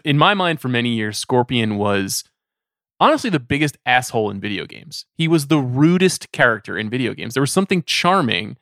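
A man's voice: mid-range voice, fairly bright tone, dry recording, studio quality. A man hosting a casual podcast discussion, speaking conversationally. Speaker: podcast host.

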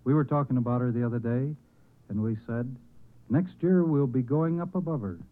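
Male speaker, medium pitch, 1940s radio read-aloud style, thin phone-like broadcast sound. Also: normal speed.

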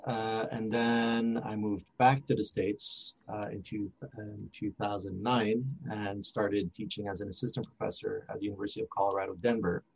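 A man's voice: on the low side, flat delivery, normal speed, gravelly, few pauses, breathy edge. A man in his forties whose first language is French, talking as an interview guest with many fillers and restarts. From Montreal, Canada. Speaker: archaeology professor, interview guest. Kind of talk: casual interview talk. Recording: dull lo-fi sound.

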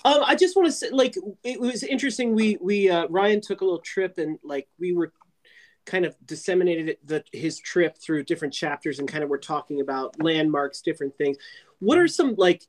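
Man, 40s, host, slightly high voice, meandering questions. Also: expressive, fairly quick. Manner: rambling, searching questions. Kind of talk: casual chat.

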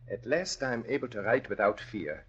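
A grave, earnest immigrant doctor with careful formal speech. The voice is lowish and husky. It has the style of 1940s radio drama and a dull old recording. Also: male voice, lively intonation, moderate pace. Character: earnest immigrant doctor, survivor of Nazi prison camp.

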